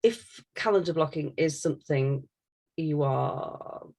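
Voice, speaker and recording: A woman's voice: sits low, slow pace, darkish tone. A woman hosting a casual, chatty podcast. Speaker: podcast host reading listener questions.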